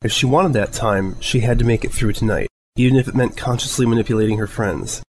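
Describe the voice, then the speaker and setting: A man's voice: medium pitch, fairly quick, a little mellow, rough recording. A man narrating a scripted piece of fan fiction as a storyteller.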